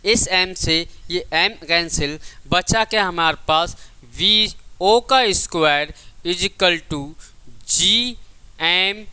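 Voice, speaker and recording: Bright, high-pitched man teaching. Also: very slow, clean tone, no echo. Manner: didactic, repeats key points, asks and answers own questions; addresses students affectionately as child. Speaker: physics teacher. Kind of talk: exam coaching lecture, working through derivations.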